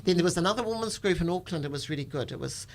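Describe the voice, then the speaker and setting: Older kiwi lady chatting through her stories, lots of creak in the voice, reflective and informal. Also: fairly low pitch, expressive, racing, a little mellow. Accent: New Zealand. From New Zealand. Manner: informal, reflective, often says "you know". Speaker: storytelling guest, activist. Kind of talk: conversational personal storytelling.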